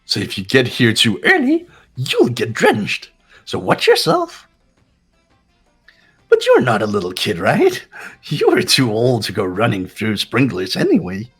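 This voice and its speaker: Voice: medium pitch, huge pitch swings, measured pace, slightly rough, faint music behind, full character voice. A performer voicing a gleeful, sinister robot bear mascot in a fan-voiced game, taunting in a mock-cute way. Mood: bossy teasing.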